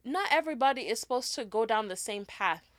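A young woman co-hosting a casual podcast, animated and laughing often as she gives advice point by point. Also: on the high side, a little fast, dry recording, studio quality. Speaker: podcast co-host. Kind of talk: casual chat.

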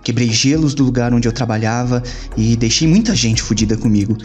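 A man's voice: medium pitch, fairly quick, a little mellow, close to an everyday voice. A man acting in an audio drama as a jaded, slangy hacker loner in a cyberpunk city. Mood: brooding, longing. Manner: brooding, slangy. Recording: music behind the voice.

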